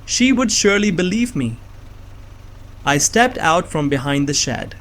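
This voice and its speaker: A man playing a nerdy, anxious young man on the run, narrating a suspense story in a measured, earnest way. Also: fairly high pitch, very animated, fairly bright tone, slight background noise. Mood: tense, measured. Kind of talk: first-person scripted narration of suspense fiction. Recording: music behind the voice.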